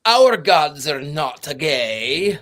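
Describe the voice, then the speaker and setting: A man puts on a stiff, conservative Greek man with an exaggerated, lousy Transylvanian-style accent. He denies everything flatly and dismissively, slow and low. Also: full character voice. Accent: mock Eastern European. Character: stern conservative Greek man, male adult.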